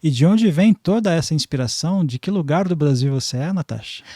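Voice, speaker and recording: A man's voice: on the high side, lively intonation, a little fast, dark tone, no room sound, studio quality. A man the São region hosting an interview, opening with formal welcomes and giving brief affirming replies. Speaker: host.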